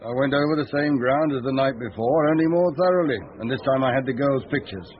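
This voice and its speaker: A man's voice: mid-range voice, fast, natural voice. Man playing precise investigator, dull radio sound. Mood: weary, precise. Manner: methodical, factual reporting. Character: diligent, precise male investigator reporting to his chief. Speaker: actor in a radio drama. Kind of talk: radio drama acting.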